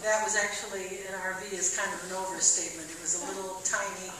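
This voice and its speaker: A woman's voice: medium pitch, little pitch movement, quick pace, over a noisy crowd. An older woman speaking as a panelist at a public meeting, telling a story in a chatty way, with fillers. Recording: echoey hall from far off, noisy.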